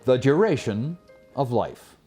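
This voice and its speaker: A male storyteller reads an old folk tale in a formal, scripted style. His mid-pitched voice is highly animated, rising and falling widely. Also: slow, faint music behind. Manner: expressive, formal. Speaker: storyteller, host.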